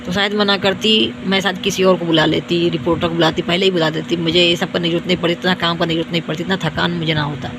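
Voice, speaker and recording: A hoarse, tired young woman from India, talking to her viewers in a repetitive, boastful and scolding way. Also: on the low side, flat delivery, fast talker, few pauses, background noise. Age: young adult. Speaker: vlogger. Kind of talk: self-filmed rant to viewers.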